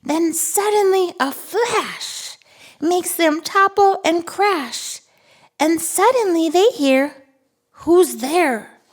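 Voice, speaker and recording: A woman voicing a friendly, excitable little monster who reads picture books to toddlers with gleeful wonder. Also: very high voice, heavily acted. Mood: playfully spooky. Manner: gleeful, giggly, breathless wonder, drawn-out words. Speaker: children's read-aloud host. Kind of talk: animated picture-book read-aloud for toddlers.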